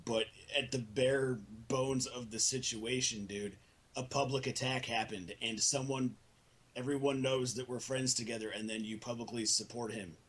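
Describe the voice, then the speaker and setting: Male, husky voice, earnest and profane, for a heated informal argument. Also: mid-range voice, steady tone, normal speed, fairly bright tone, low-quality recording.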